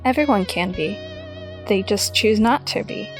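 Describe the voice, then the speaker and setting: A woman's voice: mid-range voice, expressive, measured pace, over music, lightly acted. An actress playing a bruised teenage girl who is bossy and teasing.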